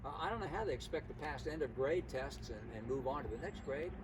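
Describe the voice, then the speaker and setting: An older man speaking out as a concerned citizen, emphatic and deliberate, in an informal impassioned style. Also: mid-range voice, animated. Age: older, 60s or above. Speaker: concerned local citizen at a protest. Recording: outdoor, distant mic, loud traffic noise. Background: vehicles and outdoor ambience.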